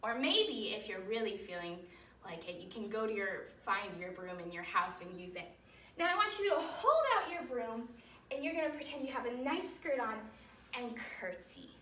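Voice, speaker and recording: A woman with a high, very lively voice leads a children's dance lesson. She is encouraging and explains each thing simply, often checking in with her young pupils. The sound is roomy and echoing, picked up at a distance from the mic. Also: normal speed. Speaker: children's ballet teacher and storytime host. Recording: roomy echo, distant mic, faint noise.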